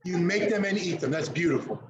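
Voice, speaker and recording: An older man with a lively, swooping delivery, talking in an echoey room with clear noise behind him. He chats casually with children, encouraging them and affectionately repeating what they say. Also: high-pitched, brisk, muffled recording. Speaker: chef and guest presenter. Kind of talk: casual interactive chat with children.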